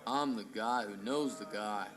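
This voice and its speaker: A man's voice: fairly low pitch, measured pace, a bit bright. A man playing a shady underworld informant in a noir detective radio play. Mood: shady, terse.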